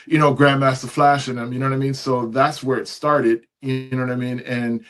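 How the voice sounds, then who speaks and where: Relaxed middle-aged man, easygoing guest. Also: mid-range voice, a little fast, soft background music. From born in Canada, raised in New York City. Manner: relaxed, keeps saying "you know what I mean". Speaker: interview guest, DJ. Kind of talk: casual interview conversation.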